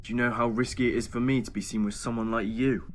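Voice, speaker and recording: Actor as a bitter underground boss, faint noise. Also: male voice, mid-range voice, level tone, fast talker, natural voice. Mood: guarded, bitter. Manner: terse, pointed. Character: bitter, guarded underground club boss, politically angry. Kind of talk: audio drama acting. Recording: faint ambient noise behind.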